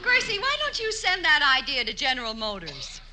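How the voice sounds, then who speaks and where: An actress playing a sardonic, wisecracking friend in a 1940s radio comedy. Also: female voice, high voice, wildly swooping pitch, normal speed, heavily acted. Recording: old radio broadcast with loud hiss.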